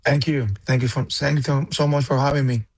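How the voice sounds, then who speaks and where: A man's voice: mid-range voice, little pitch movement, a little fast, breathy edge. A man from Cuba, a musician guest on a radio talk show, speaking hesitantly with many fillers and restarts. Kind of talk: radio interview.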